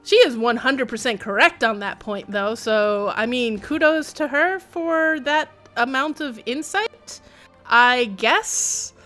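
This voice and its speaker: High-pitched woman, wry game streamer. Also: takes it slowly, pushed and bright, faint music behind. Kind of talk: casual game-stream commentary and reading in-game text aloud.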